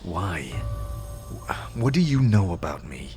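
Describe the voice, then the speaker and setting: An actor playing a curious amnesiac wanderer, terse and questioning, in a fantasy audio drama. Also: male voice, low voice, big pitch swings, unhurried, a little mellow, faint music behind. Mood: probing.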